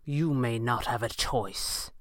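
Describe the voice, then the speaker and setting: Pulp audiobook villain, man performing, ranting and sneering with grand menace and a very animated pitch. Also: takes it slowly, no echo, studio quality, exaggerated voice. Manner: mocking, grandiose. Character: menacing, ranting, power-hungry male villain, sneering and theatrical. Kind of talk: dramatised pulp adventure audiobook.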